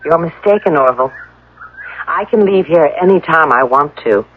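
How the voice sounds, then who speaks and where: A man's voice: on the high side, expressive, moderate pace, phone-quality sound. An actor playing a defiant man in a radio drama, heard on a thin old recording.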